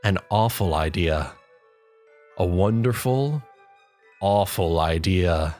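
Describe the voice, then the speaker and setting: A woman's voice: very deep voice, animated, slow pace, mellow. A woman reading a story aloud as scripted second-person narration. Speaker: fan fiction reader. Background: faint music.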